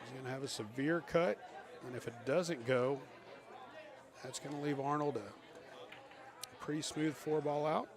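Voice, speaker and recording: A man giving excitable live pool commentary as a team captain, coaching the players aloud. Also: medium pitch, measured pace, pauses often. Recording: loud crowd around.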